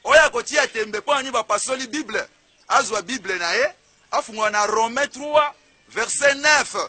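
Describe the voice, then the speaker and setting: A man's voice: very high-pitched, normal speed, pushed and bright, no room sound. A man with a Congolese accent preaching in a fiery, declamatory way, punching out emphatic rhetorical questions. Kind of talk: fiery street-style sermon. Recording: faint crowd behind. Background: faint crowd.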